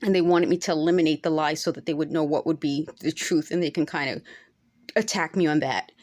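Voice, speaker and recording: A woman's voice: deep voice, steady tone, brisk, a little mellow. A woman in her forties talking straight to camera in an unscripted monologue, earnest and insistent, now and then rising to emphatic peaks. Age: 40s. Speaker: vlogger.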